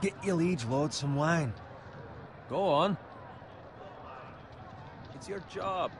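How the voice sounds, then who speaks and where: Cocky soldier, noisy background. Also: male voice, fairly high pitch, slow pace. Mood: bossy. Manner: blunt, mocking. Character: cocky, teasing young soldier in a medieval army camp. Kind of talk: video game fantasy acting.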